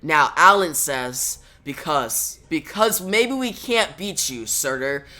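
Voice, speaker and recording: A man's voice: on the high side, animated, slow, pushed and bright, pitched below the performer's own voice, exaggerated voice. A man voicing a veteran hero leader who pleads urgently with a foe. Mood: pleading.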